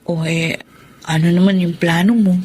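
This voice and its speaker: A woman's voice: fairly low pitch, fairly even intonation, normal speed, a little mellow, natural voice. An actress with a Filipino accent playing a tender, devoted woman in love in a radio drama. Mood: tender, reassuring. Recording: clearly noisy radio drama track.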